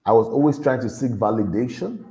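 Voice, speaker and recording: A man with a deep voice, giving an earnest motivational talk to young people and repeating his key lines for emphasis. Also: animated, fairly quick, warm tone. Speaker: motivational speaker at a youth event.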